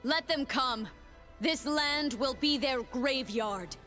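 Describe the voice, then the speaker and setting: An actress voicing a grave young woman warrior who leads her people in war, speaking deliberately in dramatic video game lines. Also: high voice, fairly bright tone, soft background music. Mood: solemn resolve.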